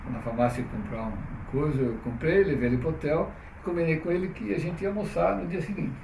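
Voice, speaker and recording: A man's voice: on the high side, a little fast, vocal fry. A middle-aged man, telling stories as an interviewee. His talk is chatty and loose, full of restarts and questions tagged on. The mic sits well away from him in an echoing room, with a light hiss behind him. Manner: chatty, frequent "né?" tags, restarts. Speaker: interviewee, publisher. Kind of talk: relaxed conversational storytelling. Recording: roomy, distant mic, faint noise.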